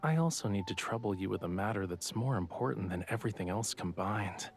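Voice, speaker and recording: An actor playing a suave, secretive young male artist in a romance game, speaking in a soft, measured, gently teasing way. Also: fairly low pitch, lightly acted. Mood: earnest, reassuring. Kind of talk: voiced dialogue in a romance game.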